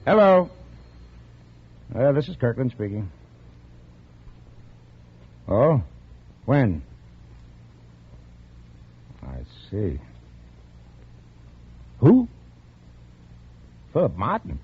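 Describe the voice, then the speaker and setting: An actor playing a grave, troubled police detective who questions haltingly, in 1940s radio drama style. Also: male voice, medium pitch, wildly swooping pitch, husky, heavily acted. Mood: uneasy, startled. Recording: muffled, thin vintage radio recording.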